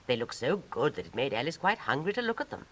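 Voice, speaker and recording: A woman's voice: very deep voice, very animated, quick pace. A woman with a British Received Pronunciation accent reading scripted storybook narration in a 1950s style.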